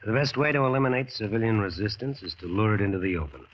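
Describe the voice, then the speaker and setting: A man's voice: on the low side, fast, natural voice. A man acting a weary, cynical, coldly sardonic military officer in an old-time radio drama, dry and commanding. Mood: weary contempt. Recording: muffled vintage radio recording.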